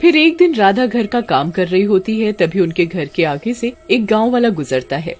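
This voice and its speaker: A woman's voice: mid-range voice, expressive, moderate pace, a little mellow, soft background music. Woman narrating a cartoon story. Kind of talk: scripted children's story narration.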